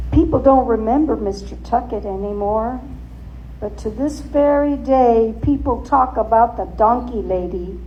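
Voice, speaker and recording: Woman, dramatic storytelling, high mellow voice, suspenseful emphasis, faint background noise. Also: lo-fi sound. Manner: theatrical, suspenseful, deliberate emphasis. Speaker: author and storyteller.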